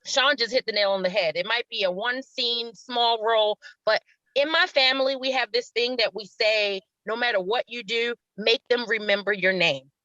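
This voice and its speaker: A woman's voice: on the high side, moderate pace, pushed and bright, no room sound, lo-fi sound. A middle-aged woman telling stories casually as an interview guest, effusive and repeating words for emphasis. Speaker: stage actress, interview guest.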